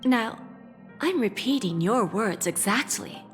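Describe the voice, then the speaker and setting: A woman playing a shrewd, composed corporate negotiator in a video game, speaking with deliberate, assured phrasing and quiet menace. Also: a little high, animated, soft background music. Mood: coolly threatening. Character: cool, shrewd female corporate negotiator, calmly threatening. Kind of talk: dramatic video game voice acting.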